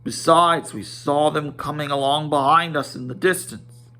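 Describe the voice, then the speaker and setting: A man voicing a courteous, sociable male dwarf in a storybook reading, loud and declarative. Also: a little high, steady tone, moderate pace. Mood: matter-of-fact.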